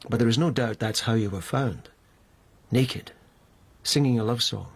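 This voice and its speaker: An actor in a radio drama playing a formal police lieutenant who questions a suspect in a deliberate, insistent way. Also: male voice, medium pitch, breathy edge. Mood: dogged questioning.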